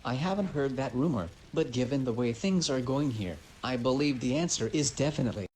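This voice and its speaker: A man's voice: medium pitch, brisk, a little mellow, slight background noise, close to an everyday voice. A man playing a news correspondent giving a scripted, factual on-scene disaster report. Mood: factual, serious.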